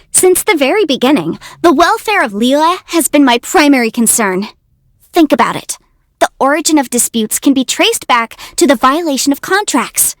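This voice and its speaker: A woman voicing a young, quick-witted half-immortal legal adviser in a video game, articulate, self-assured and playful. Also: high voice, no room sound, clean studio sound. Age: young adult. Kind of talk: video game character voice lines.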